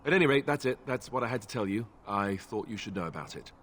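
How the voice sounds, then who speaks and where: Fantasy game companion: young warrior, lowish voice, hesitant, humble and drily self-mocking. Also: male voice, animated, fast, a bit bright, a little hiss or hum. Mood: earnest, sheepish. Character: young male warrior, self-deprecating commoner Grey Warden, wry. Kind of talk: fantasy video game dialogue.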